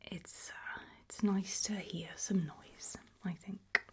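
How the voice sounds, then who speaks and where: A British woman doing an ASMR roleplay as a doctor, whispering gently and breathily, with hesitant pauses. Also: mid-range voice, steady tone.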